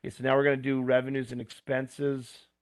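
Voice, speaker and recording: A man teaching, talking step by step through homework problems with plain asides, his voice going hoarse. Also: medium pitch, monotone, brisk, no echo. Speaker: instructor. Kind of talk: screen-recorded homework walkthrough.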